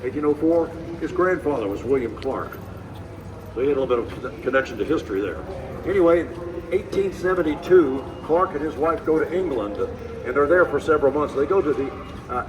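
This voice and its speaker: A man, hoarse from a cold, giving a folksy guided walking tour and repeating his key points. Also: fairly high pitch. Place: outdoors at a racetrack with a tour group. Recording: distant voice, outdoor noise.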